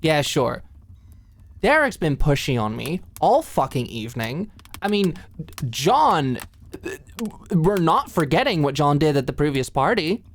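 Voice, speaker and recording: A woman plays a feisty, smitten young popular girl in an audio roleplay. Her voice sits on the low side and swings widely in pitch. She talks casually and emphatically, stumbling over words and fiercely protective. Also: sing-song, exaggerated voice. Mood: indignant, angry. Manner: casual, halting, swearing, emphatic. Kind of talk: ASMR roleplay acting.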